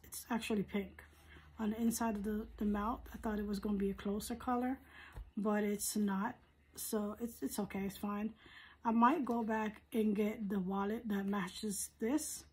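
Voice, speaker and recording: Female vlogger, medium pitch, husky and hoarse, casual rambling review chat. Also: a little mellow, poor audio. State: hoarse, recovering from surgery. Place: living room at home.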